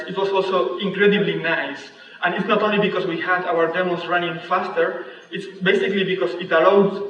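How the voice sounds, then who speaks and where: A young man with a strong Spanish accent presents from a big auditorium stage in a fairly high, flat voice. He speaks haltingly, pausing on fillers as he searches for words. The camera catches him from far off, so the sound is dull and washed in long hall reverb. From Spain. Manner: halting, careful delivery with frequent fillers. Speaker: conference speaker. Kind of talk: technical presentation. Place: large dark auditorium stage.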